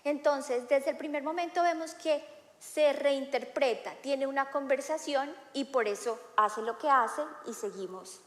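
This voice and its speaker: A woman in her thirties preaching a sermon in a relaxed, conversational way, with frequent "eh" fillers and tag questions. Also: sits high, measured pace. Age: 30s. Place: church hall. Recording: echoey hall with long reverb.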